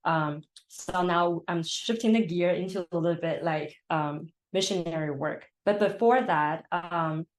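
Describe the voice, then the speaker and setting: A woman's voice: on the low side, unhurried, hardly any pauses. A young woman from Taiwan, a counseling intern speaking in a live-stream interview, reflective and full of fillers like "like" and "you know".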